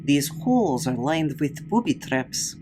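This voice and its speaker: A woman plays a commanding vampire officer with a low voice. She speaks slowly and with authority, her pitch moving expressively, over faint music. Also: sing-song, full character voice. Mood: grave, explaining. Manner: measured, authoritative. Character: commanding Soviet vampire kommissar, calm, worldly woman. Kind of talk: audio drama acting.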